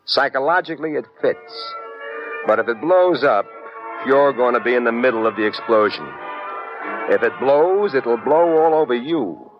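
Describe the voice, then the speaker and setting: An actor playing a wry, smooth, hard-boiled American private detective in a 1950s radio drama, with slangy noir delivery. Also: male voice, mid-range voice, animated, moderate pace, natural voice. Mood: wry, tense narration. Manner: wry, slangy, second-person noir narration. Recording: dull vintage radio recording, music underneath.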